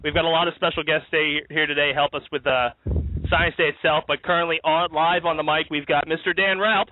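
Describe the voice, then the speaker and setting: A man's voice: fairly high pitch, fairly even intonation, a little fast, a little hiss or hum. A man hosting a live-streamed comedy talk show outdoors, joking and laughing as he rambles through the news. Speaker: host. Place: outdoors in a backyard. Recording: tinny phone-like dry sound.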